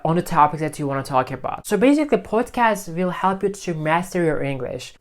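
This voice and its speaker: An English speaker talks through his learning routine in a relaxed vlog. He restates each point two or three ways as he works out how to say it, and his tone stays friendly and unhurried. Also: on the high side. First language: Turkish. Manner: repeats and rephrases himself while explaining. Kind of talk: casual teaching vlog.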